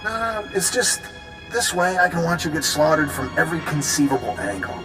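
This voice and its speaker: An actor playing a smug, mocking space rogue villain in a video game, glib and sarcastic. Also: male voice, a little high, moderate pace, close to an everyday voice. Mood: gloating. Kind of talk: video game cutscene acting. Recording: echoey long reverb under music.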